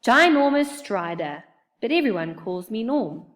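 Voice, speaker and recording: An oversized young bigfoot boy, played by a woman reading a storybook, anxious and sulky. The squeaky voice sits higher and swings about, with a whiny, self-conscious edge. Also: sing-song, unhurried. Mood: questioning.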